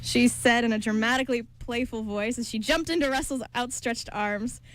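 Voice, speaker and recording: A young woman narrating a romance script aloud for an amateur radio show, in a steady storybook manner. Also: fairly high pitch, normal speed.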